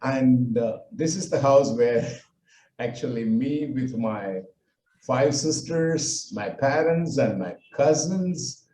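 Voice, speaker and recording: An older man with a Tamil accent tells his life story in a halting way, stopping often to restart his sentences. His voice is mellow and sits at a middling pitch. The room around him echoes. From India. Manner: halting, with frequent fillers and restarts. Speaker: speaker recounting his life. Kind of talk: personal talk with slides.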